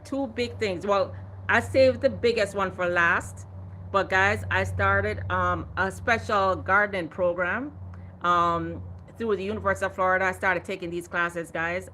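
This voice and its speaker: A woman in her fifties hosts a relaxed gardening livestream and talks in a lively, chatty way. She often stops to read out viewer comments. Her voice is bright and crisp, and there is a faint hum of room ambience behind her. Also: lowish voice. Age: 50s. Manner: animated, laughing, reading out viewer comments. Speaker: gardening channel host. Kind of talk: casual livestream chat.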